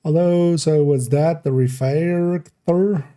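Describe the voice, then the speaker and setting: A young man from the Netherlands, streaming live and thinking aloud in a relaxed, meandering way while reviewing his work. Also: fairly high pitch, slow. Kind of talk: casual live-stream commentary.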